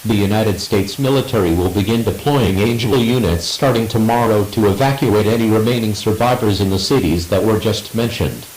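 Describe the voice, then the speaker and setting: A man playing an impersonal emergency alert announcer, reading formally and in measured phrases in an outbreak drama. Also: lowish voice, darkish tone, lightly acted. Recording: echoey, hissy emergency broadcast.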